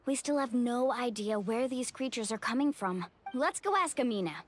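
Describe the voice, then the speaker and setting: A woman's voice: on the high side, expressive, normal speed, faint music behind, close to an everyday voice. A woman voicing a brave, spirited young warrior in a video game, upbeat, confident and encouraging.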